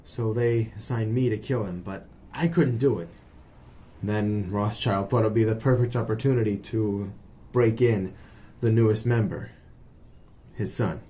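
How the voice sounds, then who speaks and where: An actor playing an earnest, nervous young man, hesitant and excitable, in a noir audio drama. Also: lowish voice, measured pace, a little hiss or hum, lightly acted. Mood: earnest, distressed. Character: earnest, nervous young male defector from secret brotherhood.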